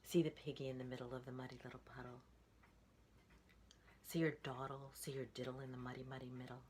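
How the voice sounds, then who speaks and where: A woman in her sixties reading a picture book aloud to children in an expressive, sing-song rhythm. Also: a bass voice, quick pace, slight background noise. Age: 60s. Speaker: storytime reader.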